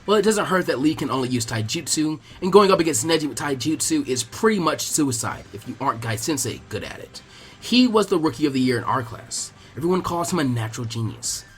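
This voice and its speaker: A man's voice: a little high, animated, fairly quick, a bit bright. Man voicing a casual, teasing teenage girl ninja. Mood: matter-of-fact. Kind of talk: audiobook character acting.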